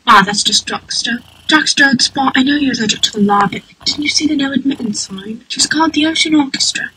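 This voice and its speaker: A woman's voice: high-pitched, fairly quick, sharp tone, a little hiss or hum, rough recording. A woman voicing a cheery, eccentric male inventor creature in an amateur cartoon dub, speaking in a genteel, airy, old-chap manner. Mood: airy, chummy.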